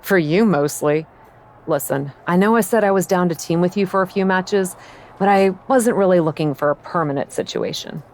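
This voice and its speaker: A woman playing a blunt, proud young pro wrestler in a video game, dry and guarded, quick to bristle. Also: on the low side, a little mellow, slight background noise, natural voice. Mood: firm, annoyed. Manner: dry, guarded, indignant when pushed. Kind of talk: video game cutscene acting.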